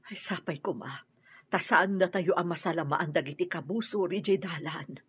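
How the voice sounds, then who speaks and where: Very husky, anxious Ilocano woman. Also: fairly low pitch, moderate pace, a bit bright. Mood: worried. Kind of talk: Ilocano radio drama acting.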